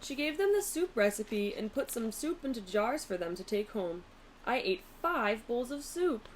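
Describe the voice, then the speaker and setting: A woman's voice: fairly high pitch, very animated, moderate pace, poor audio. Woman reading a storybook, faint background noise. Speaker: teacher reading a picture book aloud. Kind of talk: storybook narration.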